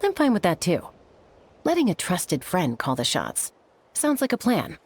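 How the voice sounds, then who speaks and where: A woman voicing a calm, reassuring young companion in a video game. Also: on the low side, a little slow, exaggerated voice. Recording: game audio with soft music behind.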